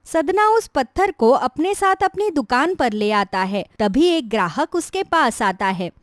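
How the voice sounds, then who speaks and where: Female narrator for a scripted moral tale. High register, very expressive intonation, dry recording. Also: unhurried, no echo.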